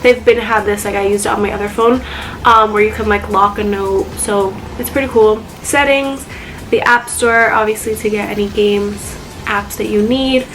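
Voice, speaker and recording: A woman's voice: mid-range voice, steady tone, normal speed, a bit bright, faint music behind, rough recording. A young woman vlogging casually about her phone apps, chatty and full of fillers, her voice slightly congested. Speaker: YouTuber. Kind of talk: casual phone tour vlog.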